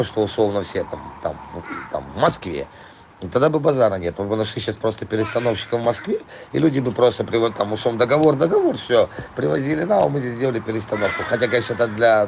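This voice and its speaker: A hoarse man rambling profanely in a casual voice message to a client, full of fillers. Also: lowish voice, a little mellow, a little hiss or hum. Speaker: trailer import dealer.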